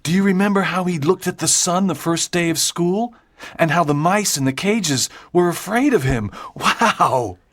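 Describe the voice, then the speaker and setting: A woman's voice: on the low side, animated, moderate pace. A woman voicing Walter, an eager and thoughtful schoolboy, in an audiobook reading.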